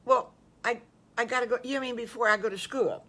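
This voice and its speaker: Storybook wolf pup, six, breathless and curious, put on by an older woman; high, bright. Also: steady tone. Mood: eager. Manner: wide-eyed, rushed, full of questions. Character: eager little boy wolf, six years old.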